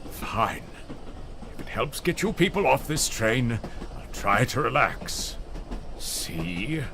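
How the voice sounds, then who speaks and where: A man's voice: mid-range voice, sing-song, slow pace, creaky, noisy background, heavily acted. An actor playing a pompous, frightened, stammering man who bosses people about in an audio drama. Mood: reluctant, subdued. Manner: stammering, indignant. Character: flustered, bossy, frightened man, pompous and condescending.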